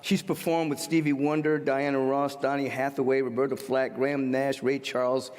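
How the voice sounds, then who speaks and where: A man's voice: mid-range voice, flat delivery, normal speed, a little mellow, long reverb tail. A man hosting a church service, joking with a practitioner and introducing a guest singer with playful emphasis. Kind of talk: introducing a performer.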